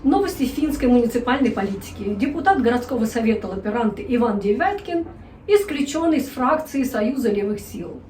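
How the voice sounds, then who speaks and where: A woman's voice: on the high side, expressive, brisk, noisy background. A woman presenting news in a vlog, with wry, ironic commentary. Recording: echoey room, a bit distant.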